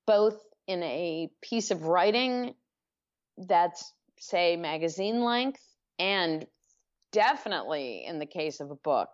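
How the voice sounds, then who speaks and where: Slow, thoughtful woman author on a dull line. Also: lowish voice. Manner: thoughtful, halting, self-correcting. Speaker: author guest. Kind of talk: podcast interview.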